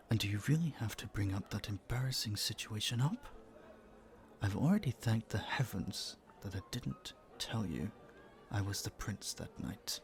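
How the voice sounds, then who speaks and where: Low, creaky gentlemanly young prince acted by a man. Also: heavily acted. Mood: flustered, defensive. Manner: playful, polite, slightly flustered, hesitant stammers. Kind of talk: romantic audio roleplay, speaking to a listener. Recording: faint noise, background ambience.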